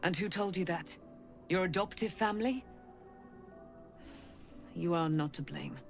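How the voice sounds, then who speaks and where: An actress playing a wise, commanding celestial messenger in a video game, speaking in grave, formal, deliberate phrases. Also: female voice, fairly low pitch, vocal fry, soft background music. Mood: grave, probing. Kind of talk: video game dramatic acting.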